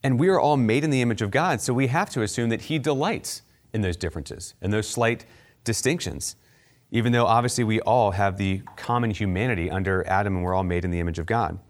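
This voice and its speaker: Man, lower-pitched voice, from outside Baltimore, conversational teaching, lots of fillers and quick asides. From United States, near Baltimore. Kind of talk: teaching talk on faith and culture.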